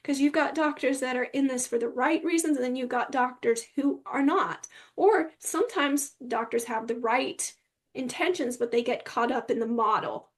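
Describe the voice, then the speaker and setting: A woman with a high-pitched voice speaks as a podcast guest. She gives advice in a conversational style, emphatic and encouraging. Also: normal speed.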